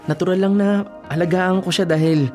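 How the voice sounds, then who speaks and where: An actor playing a devoted, self-sacrificing young working man in a Filipino radio drama, speaking gently and earnestly with a Filipino accent. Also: high-pitched, fairly even intonation, a little fast, soft background music, lightly acted. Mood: earnest, defending. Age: young adult. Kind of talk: Tagalog radio drama acting.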